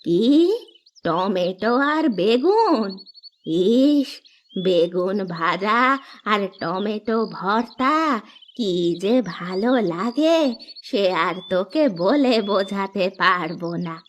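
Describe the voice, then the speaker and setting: A woman playing a kindly, affectionate old village granny in an expressive Bengali cartoon dub. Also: medium pitch, slow, slight background noise. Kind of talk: Bengali moral-story cartoon dubbing. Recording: dull lo-fi track with music.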